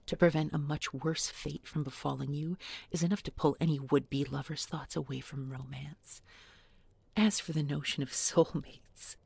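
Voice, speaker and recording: A woman's voice: sits low, gravelly, darkish tone, slightly rough, dry recording, heavily acted. A woman playing a bookish village beauty turned sly, menacing captor in an intimate audio roleplay, speaking with mock tenderness and often dropping to a whisper. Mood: reproachful, ironic. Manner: deliberate, coaxing, mock-tender with pointed irony. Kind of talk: intimate audio roleplay spoken to one listener.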